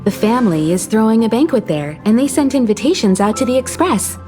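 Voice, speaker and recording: A fairly high, lively woman's voice plays an elegant traveler in a video game acted by a woman. She is poised and playful, with a wistful streak, over soft music. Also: brisk, close to an everyday voice. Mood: composed. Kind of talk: English video game voice acting.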